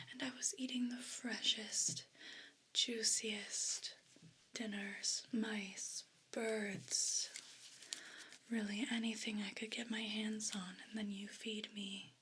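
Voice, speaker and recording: A woman voicing a haughty, possessive evil pet cat in an ASMR role play, whispering in a breathy, condescending, complaining way. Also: mid-range voice, flat delivery, sharp tone, heavily acted. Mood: disgusted, complaining. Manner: slow, deliberate, condescending, disdainful.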